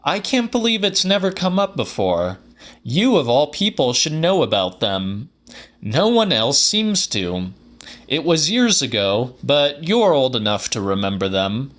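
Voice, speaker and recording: A man's voice: medium pitch, big pitch swings, measured pace, fairly bright tone, voice pushed up. A man voicing an eager, insistent male acquaintance in dramatic audiobook dialogue.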